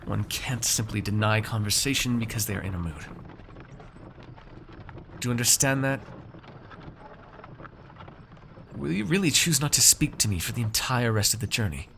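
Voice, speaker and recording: Man playing a low-voiced, haughty fantasy nobleman master. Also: animated, vocal fry, a bit bright, a little hiss or hum. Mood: condescending, weary. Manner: measured, condescending, formal and wordy, with sighs. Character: haughty, shrewd nobleman master coaxing a frightened purchased mage servant. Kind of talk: ASMR fantasy audio roleplay.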